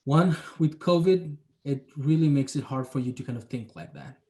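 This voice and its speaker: Man, young adult, mid-pitched, reflective, hedging, full of fillers. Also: animated, normal speed, darkish tone. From Bangladesh. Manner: reflective, hedging, many "you know" and "kind of" fillers. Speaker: urban planner, guest. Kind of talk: casual interview talk.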